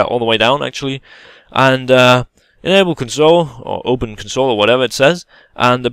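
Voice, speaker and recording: A man talks through a game tutorial in a relaxed, halting way, often pausing and restarting. His voice is smooth and clear. The recording is dry and close, with no room sound. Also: mid-range voice, dry recording. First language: Danish. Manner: hesitant, many fillers and restarts, casual explaining. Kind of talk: gameplay tutorial voice-over.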